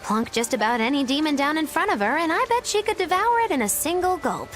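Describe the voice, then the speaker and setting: A woman's voice: sits high, expressive, fast, a bit bright, faint music behind. A woman voicing a mischievous, smug young witch in a video game, teasing and theatrical. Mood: knowing. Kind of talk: English video game voice acting.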